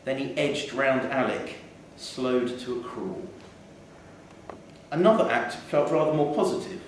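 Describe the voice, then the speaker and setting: A man reading a short story aloud in a measured, wry storytelling manner, live in a theatre. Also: medium pitch. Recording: echoey theatre hall, distant mic, faint noise.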